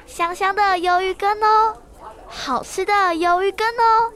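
A woman's voice: very high-pitched, fairly even intonation, a little slow, a bit bright, a little hiss or hum. An actress playing a cheerful schoolgirl who helps at her family's food stall in a children's radio drama. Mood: calling, cheerful.

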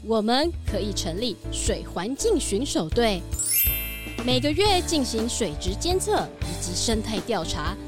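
A woman's voice: fairly high pitch, very animated, unhurried, music in the background. A woman voicing a kind goat who runs a factory, opening her lines with a bleat, in a children's radio drama. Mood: helpful. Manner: bleating "baa" before speaking.

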